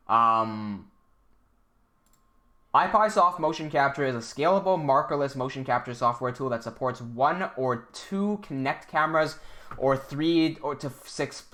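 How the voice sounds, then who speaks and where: A man's voice: mid-range voice, a bit bright. A young man from Hong Kong hosting a casual livestream, thinking aloud and reading out chat messages, with frequent restarts.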